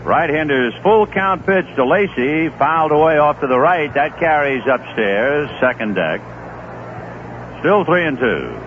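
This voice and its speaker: A man calls the baseball game in an easygoing, folksy way, with chatty asides between pitches. His voice sits a bit high and swings lively up and down. It comes through a thin, tinny old AM radio broadcast with faint hiss. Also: measured pace. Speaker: play-by-play baseball announcer. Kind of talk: radio play-by-play commentary.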